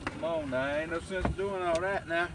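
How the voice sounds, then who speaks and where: A drawling man talks casually while night fishing from a lakeside camp, worn out and ready for sleep. He banters with the fish, half mocking and half fond, in a folksy way. The outdoor recording is noisy, with lake ambience clear behind him. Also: high voice, sing-song, normal speed. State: tired and sleepy. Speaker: fishing vlogger. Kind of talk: casual running talk to camera while fishing.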